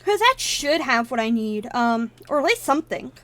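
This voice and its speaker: A woman's voice: fairly high pitch, big pitch swings, moderate pace, fairly bright tone, no echo. A woman whose first language is Russian, casually commenting on a game on a live stream and thinking aloud as she wanders into tangents.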